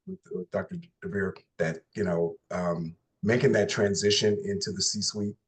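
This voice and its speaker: Man with a very deep voice. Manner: earnest, repetitive, many fillers. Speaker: panelist, hospital executive. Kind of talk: conversational panel answer.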